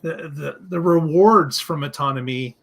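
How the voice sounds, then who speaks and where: A man with a slightly higher-than-average voice talks as a podcast co-host. He phrases things deliberately and haltingly, in the way of unscripted discussion commentary. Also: fairly bright tone.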